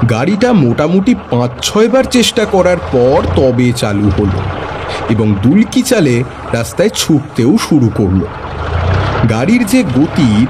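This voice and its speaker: A man's voice: a little high, noisy background. A man narrating a detective story in the first person, with a wry, dryly humorous manner. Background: faint background music.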